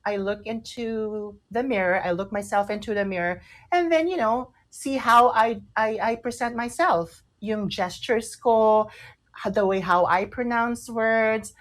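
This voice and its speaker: A woman with a Filipino accent co-hosting a radio talk show in casual chat, explaining emphatically and often repeating herself for stress. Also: on the high side.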